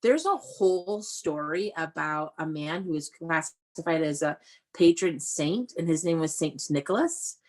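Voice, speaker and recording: A woman teaching young children through a read-aloud, explaining in an animated way and often putting questions to them. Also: low-pitched, measured pace. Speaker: elementary school teacher.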